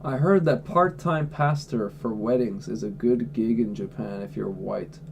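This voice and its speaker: Blunt, mellow-voiced male streamer. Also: medium pitch, a little slow, gravelly, slight background noise. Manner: blunt, offhand, swears freely. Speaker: livestreamer answering chat questions. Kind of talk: casual livestream chat. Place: at home indoors.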